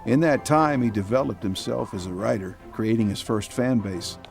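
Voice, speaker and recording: A man with a fairly low voice announces a radio drama series. The delivery is scripted narration, with music playing softly underneath. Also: normal speed, creaky. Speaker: announcer and host.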